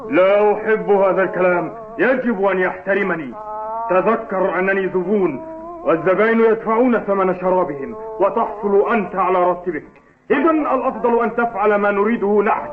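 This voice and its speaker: Guy doing a snooty bossy cartoon customer, high voice, demanding, complainy, tinny audio. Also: fairly quick, noisy background, natural voice. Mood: haughty, annoyed. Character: rude, demanding, haughty restaurant customer. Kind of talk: dubbed cartoon acting. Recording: tinny band-limited audio with music behind.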